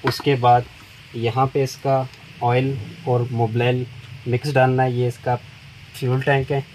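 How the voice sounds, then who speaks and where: A middle-aged man, calmly explaining step by step how to fit a chainsaw blade at a tool shop counter. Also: medium pitch. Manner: plain, unhurried explaining while working with his hands. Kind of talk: step-by-step product demonstration. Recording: noisy shop recording.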